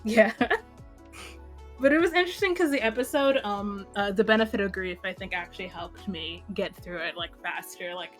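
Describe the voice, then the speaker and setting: A woman's voice: medium pitch, normal speed, faint music behind. A young woman co-hosting a podcast in casual chat, telling a personal story expressively and acting out her own reactions. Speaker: podcast co-host.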